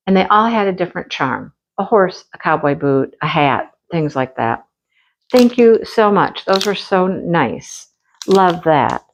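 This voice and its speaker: A woman's voice: mid-range voice, muffled recording. A woman from Michigan who is losing her voice, hosting her craft channel and chatting casually as she shows things off. She gushes often about things she loves. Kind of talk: casual show-and-tell chat.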